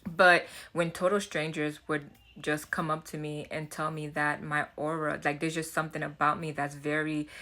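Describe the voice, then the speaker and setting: A woman with a Haitian accent speaks in a notably low register, her tone bright and forward. She talks to camera in an earnest, chatty way, circling back and repeating words as she thinks aloud. The delivery is relaxed and personal, like a vlogger sharing advice. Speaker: self-development vlogger. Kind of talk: casual talk to camera.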